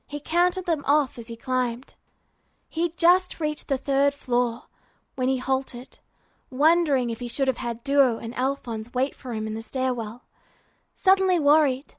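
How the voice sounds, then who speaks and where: A woman's voice: high-pitched, dry recording. A woman reading a scripted story aloud as narrator.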